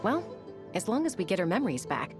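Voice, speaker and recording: A woman's voice: mid-range voice, big pitch swings, a little fast, over music, lightly acted. A woman playing a confident, welcoming young tribeswoman in a video game, speaking in a reassuring, decisive way.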